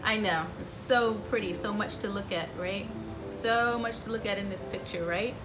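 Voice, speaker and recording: A woman's voice: mid-range voice, normal speed, over music, rough recording. A middle-aged woman hosting a children's story time, showing and explaining things in simple phrases and often checking in with questions to young viewers. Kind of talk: children's educational show-and-tell, asking viewers questions. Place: outdoors on a porch by trees.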